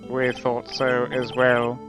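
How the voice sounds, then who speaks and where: Man as a smug, slow-talking young prosecutor. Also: mid-range voice, completely flat delivery, music in the background, close to an everyday voice. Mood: composed. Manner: pompous, measured. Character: smug, condescending, composed young male prosecutor. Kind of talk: live dub of courtroom video game.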